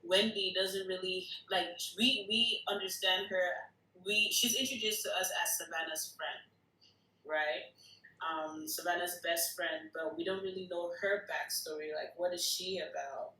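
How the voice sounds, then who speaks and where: A woman's voice: fairly low pitch, fairly even intonation, unhurried, sharp tone, a little hiss or hum. A woman giving casual feedback as a participant in a group discussion. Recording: echoey room.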